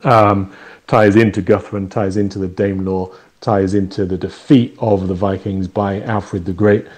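A man with an English accent speaks as an author being interviewed. His voice sits fairly low. He explains things conversationally, pausing to find words and drifting into storytelling. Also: flat delivery, a little mellow. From East Anglia, England; lives in Canada.

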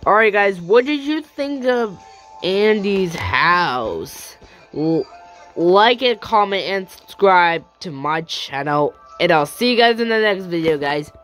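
Animated, slow-paced young-sounding gaming host. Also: mid-range voice, faint music behind. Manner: exclamatory, animated, thinking aloud. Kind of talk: casual video game tour commentary.

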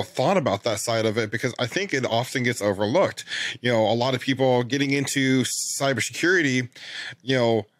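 Bearded host, dry close mic. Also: male voice, mid-range voice, dry recording. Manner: many fillers, loose and relaxed. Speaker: podcast host. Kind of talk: casual interview chat. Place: home studio with lights and posters.

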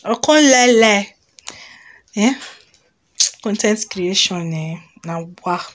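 A Nigerian woman in her thirties chatting casually to camera in an expressive, exclamatory storytelling way, recorded on a phone in a room at home. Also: medium pitch, takes it slowly. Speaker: vlogger. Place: small indoor room at home.

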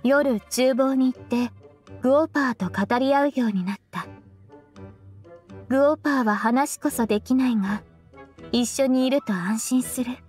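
An actress playing a stoic, literal-minded young female disciple, speaking in a deadpan, formal, blunt way in a Japanese game. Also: sits high, normal speed, long pauses, soft background music, close to an everyday voice. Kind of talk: Japanese video game voice acting.

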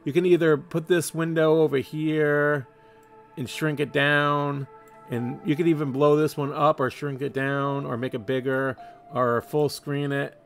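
Male tutorial host, lighter higher register, casual instruction over soft music. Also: level tone, measured pace. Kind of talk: casual step-by-step screen tutorial.

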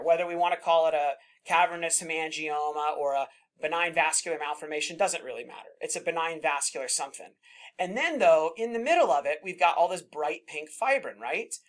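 A middle-aged man, a pathologist, teaching residents in a relaxed, conversational way and reassuring them often. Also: on the high side, brisk. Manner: conversational, reassuring, many fillers like "kind of" and "right?". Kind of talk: informal teaching at a slide session.

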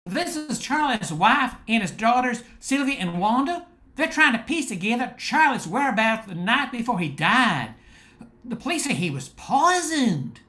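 A man's voice: extremely high pitch, very animated, sharp tone, exaggerated voice. An older actor playing a nervous, stammering man in an amateur radio drama. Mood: earnest. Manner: halting, stammering. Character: George, nervous stammering male co-worker and friend.

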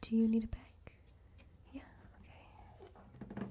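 A woman doing an ASMR roleplay as a cashier, talking softly and mostly in whispers, with friendly counter small talk, recorded at a table indoors. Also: slow, muffled recording. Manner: soft-spoken, mostly whispering. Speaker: ASMR creator playing a cashier. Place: indoor room at a wooden table.

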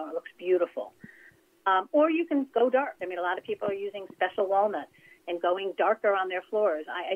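Woman, interior designer, chatty practical explainer, phone-line sound, mid pitch. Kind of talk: casual interview over phone.

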